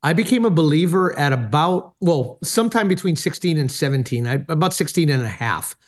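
Earnest middle-aged man, slightly high voice. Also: lively intonation, a little fast. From Hawaii. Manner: earnest, many hesitations and fillers. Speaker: speaker and former pastor. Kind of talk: personal testimony in interview.

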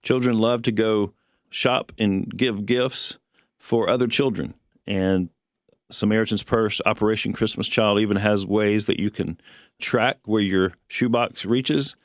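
A middle-aged man with a fairly low voice talks as the co-host of a religious radio show. His style is conversational, and the recording is dry and free of echo. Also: fairly even intonation, a little slow.

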